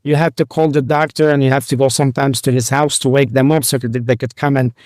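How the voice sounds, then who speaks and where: A man in his sixties from Lebanon, a rabbi and physician, telling his life story in an interview, speaking haltingly with many fillers and restarts. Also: medium pitch, level tone, darkish tone, no room sound, clean studio sound.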